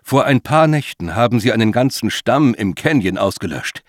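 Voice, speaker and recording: A man's voice: medium pitch, animated, moderate pace, dry recording, clean studio sound, lightly acted. A man voicing an earnest, placating, uneasy male adventurer in an audiobook. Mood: careful, placating.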